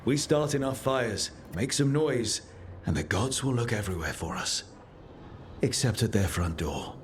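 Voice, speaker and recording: A man acting as a steady hero of a fantasy game, mid-pitched and earnest. He sounds firm and encouraging, like a leader giving orders. There is faint ambience behind the voice. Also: measured pace, slight background noise, close to an everyday voice. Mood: determined, commanding. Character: steady, resolute male leader rallying his team. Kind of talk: cinematic video game voice acting.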